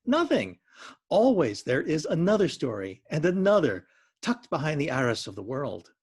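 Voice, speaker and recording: A man's voice: a little high, huge pitch swings, moderate pace, a little mellow, husky, pitched above the performer's own voice, exaggerated voice. A man voicing a female Spirit of Story, a mystical, teasing teller of fates with an oracular, incantatory delivery. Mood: proclaiming.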